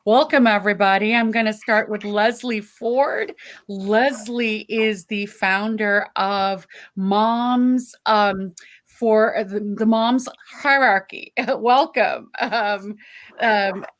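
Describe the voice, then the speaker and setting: Woman, panel moderator, friendly, hesitant with ums, mid pitch. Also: steady tone, slow.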